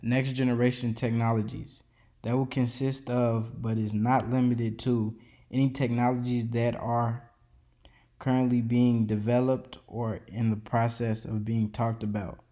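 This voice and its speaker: A man narrates an educational presentation in a level, mellow voice that barely rises or falls. He reads steadily from a script and now and then trips over a word and starts it again. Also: medium pitch, level tone, measured pace. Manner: reads steadily from a script, with small slips and restarts. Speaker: student presenter. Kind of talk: read-aloud educational narration.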